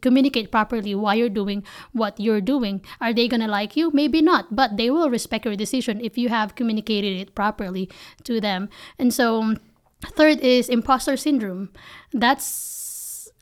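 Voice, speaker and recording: A woman's voice: fairly high pitch, moderate pace. A woman from the Philippines with a Filipino accent, speaking candidly and emphatically in conversational interview answers as a company founder.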